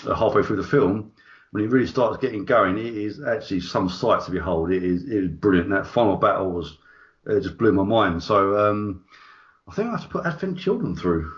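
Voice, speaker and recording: A man co-hosting a casual podcast, talking enthusiastically and a bit rambling. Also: fairly low pitch. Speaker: podcast co-host. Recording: dull lo-fi podcast audio.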